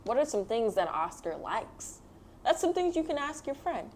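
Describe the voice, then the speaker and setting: A woman's voice: a little high, big pitch swings, normal speed, slight background noise. A woman hosting a children's read-aloud, explaining things simply and encouragingly to young listeners. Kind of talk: picture book read-aloud and talk to children.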